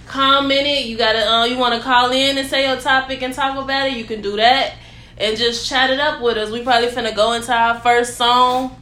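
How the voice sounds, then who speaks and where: A woman's voice: fairly high pitch, steady tone, fairly quick, sharp tone. A woman co-hosting a casual chat show, upbeat and talking to the audience. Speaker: co-host. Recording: echoey room with faint noise.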